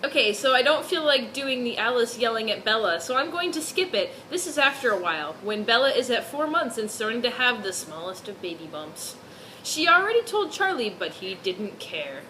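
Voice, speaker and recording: A woman's voice: fairly high pitch, big pitch swings, moderate pace, sharp tone, slight background noise, low-quality recording. A woman doing a mock-serious dramatic reading of fan fiction, dropping in amused asides.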